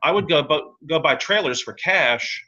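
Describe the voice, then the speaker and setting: A blunt, opinionated man speaks as a guest lawyer in a podcast interview. His voice is bright and forceful, and he comes across as wry. The recording sounds slightly dull and lo-fi. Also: on the high side, animated, normal speed. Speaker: tax and real estate lawyer, guest.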